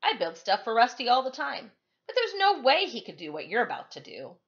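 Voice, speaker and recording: A woman's voice: fairly high pitch, huge pitch swings, a little fast, a bit bright, husky, full character voice. Woman voicing friendly stage manager guy, storybook dialogue, encouraging, varied intonation, slightly dull recording. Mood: proud, encouraging.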